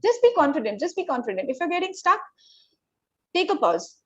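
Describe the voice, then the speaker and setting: A woman's voice: very high-pitched, very animated, fairly quick, dry recording, lo-fi sound. A woman from Northeast India, giving emphatic career advice as an interview guest and often checking in with "okay?". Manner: emphatic, repeats words, tags "okay?". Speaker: guest, corporate professional.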